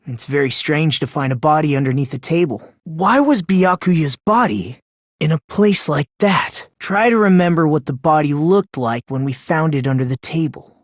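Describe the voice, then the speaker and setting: Young American man, video game character, polite, soft-spoken, unhinged, obsessed with hope, very dry close recording. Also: sits low, lively intonation, normal speed, no echo. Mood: calm, reasoning. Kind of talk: English video game voice acting.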